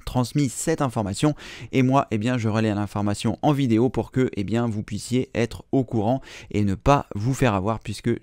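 Low, mellow man explaining patiently. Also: dry recording, clean studio sound. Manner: plain, patient explaining with fillers. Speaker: computer training host. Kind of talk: screen-recorded tutorial.

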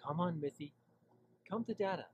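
A man plays a haughty unicorn father in a cartoon drama. His pitch moves around a great deal, and he carries himself with callous pride. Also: lively intonation, normal speed, dull audio, slight background noise. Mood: proud, coaxing. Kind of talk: cartoon fan-drama acting.

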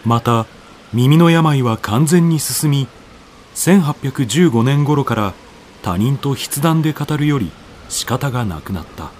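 A man's voice: medium pitch, animated, a little slow, darkish tone, slight background noise. A man narrating a radio drama from a script in a solemn, declamatory, dramatic manner. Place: studio voice-over with music behind.

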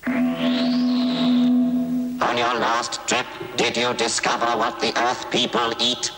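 An actor voicing a mocking robot Martian in a vintage TV advert, with a metallic, processed voice and clipped mechanical delivery. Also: male voice, mid-range voice, slow, loud music behind the voice, rough recording. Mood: mocking, amused. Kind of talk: 1970s television advert acting.

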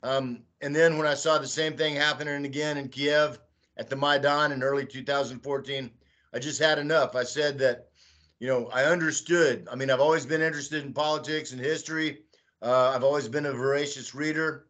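A man in his sixties from Texas, talking as a guest on a video call from a small room at home, slow and rambling with many "uh" fillers. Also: medium pitch, steady tone, a bit bright. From Texas, United States. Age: 60s. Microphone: webcam on a video call.

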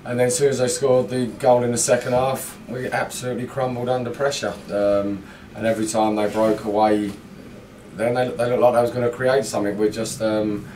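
A man's voice: mid-range voice, level tone, fairly quick, a little mellow, far from the mic, slight background noise. A middle-aged man, a football manager, giving a blunt, frustrated post-match interview full of fillers in a small indoor room. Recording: echoey room, mic set back.

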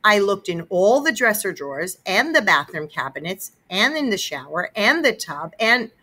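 A woman's voice: mid-range voice, very animated, moderate pace, sharp tone. A woman voicing a frantic, disbelieving mother of young boys in a dramatic, exclaiming manner. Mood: dismissive. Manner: dramatic, wailing and hollering.

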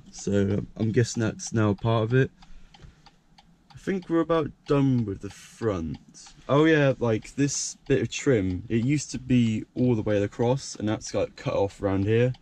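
Young man, croaky and casual. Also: fairly low pitch, warm tone. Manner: rambling, filler-heavy. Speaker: car vlogger. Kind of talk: casual point-of-view car walkaround. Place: parked car by a country lane, outdoors and inside the car. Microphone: action camera held by hand.

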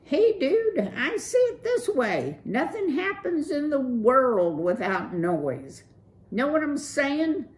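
A woman's voice: high-pitched, sing-song, slow, pitched above the performer's own voice, exaggerated voice. A woman voicing a cool, slang-talking young male city mouse with a laid-back, breezy drawl. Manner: laid-back surfer slang, keeps saying "dude".

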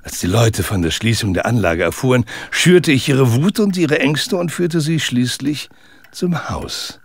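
Gravelly, smug killer. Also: male voice, mid-range voice, animated, dry recording. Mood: smug confession. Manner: gloating, deliberate, theatrical. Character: smug, cold-blooded murderer confessing his crimes. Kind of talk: German audio drama acting.

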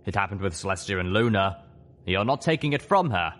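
Man as a low, steady male dragon. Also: a little fast. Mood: calm, reassuring. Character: Spike, grown male dragon, steady, matter-of-fact mentor.

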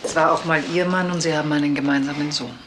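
Tense widow, unyielding, sharp tone, fast pace, naturalistic crime audio drama. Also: female voice, deep voice, steady tone, a little hiss or hum. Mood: tense, guarded.

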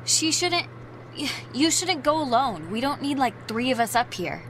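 A woman's voice: high voice, big pitch swings, normal speed, fairly bright tone, a little hiss or hum, full character voice, low-quality recording. A young American woman voicing a shy, apologetic teenage girl in a video game, hesitant and often trailing off. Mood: anxious, pleading. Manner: hesitant, trailing off, self-correcting. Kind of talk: naturalistic conversational video game acting. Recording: game soundtrack music under the voice. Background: music.

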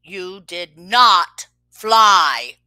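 Woman as a fussy elephant, slow and dramatic. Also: medium pitch, lively intonation, bright, forceful tone, clean tone, no echo, pitched below the performer's own voice, exaggerated voice. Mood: flatly doubtful. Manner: emphatic, deliberate, melodramatic. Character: skeptical, dramatic elephant, fussy friend of the pig. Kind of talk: children's picture-book read-aloud.

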